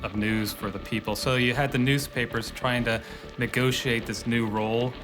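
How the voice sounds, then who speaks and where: A man's voice: mid-range voice, steady tone, moderate pace, music in the background. A man giving analytical commentary in a documentary interview.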